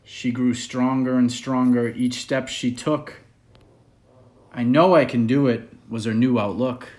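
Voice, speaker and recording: A man with a medium-pitched voice reading a children's picture book aloud. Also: steady tone, unhurried, darkish tone. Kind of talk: read-aloud children's story.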